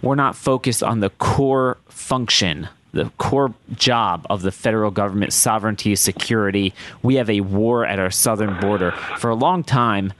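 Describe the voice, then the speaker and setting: A man hosting a political podcast, hoarse and losing his voice, speaking in emphatic, halting phrases. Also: lowish voice, a bit bright, slight background noise. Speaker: podcast host. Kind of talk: political monologue and guest introduction.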